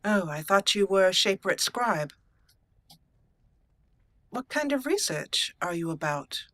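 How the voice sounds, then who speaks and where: Woman roleplaying a bright-voiced, resolute elf mage. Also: medium pitch, big pitch swings, no room sound. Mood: polite. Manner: formal, measured wording. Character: resolute elf mage Grey Warden, dwarf-raised, courteous. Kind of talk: in-character fantasy roleplay.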